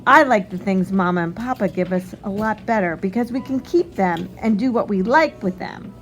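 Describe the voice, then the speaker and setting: A woman's voice: medium pitch, moderate pace, natural voice. Lady reading as a rude doubtful little storybook boy, blunt, music behind. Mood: dismissive, rude.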